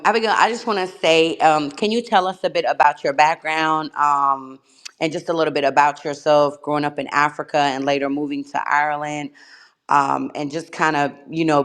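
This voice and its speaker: Chatty woman podcast host asking questions. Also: low-pitched. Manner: friendly, rambling questions with many fillers. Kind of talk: casual interview hosting.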